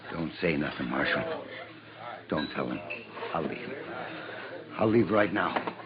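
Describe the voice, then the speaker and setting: Shifty, swooping-voiced frontier drifter. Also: male voice, a bass voice, expressive, slow, vocal fry, exaggerated voice. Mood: frightened, pleading. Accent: American. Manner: evasive, defensive. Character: shifty male frontier drifter, defensive and cowardly. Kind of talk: 1950s radio Western acting. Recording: lo-fi radio recording, noisy saloon crowd behind.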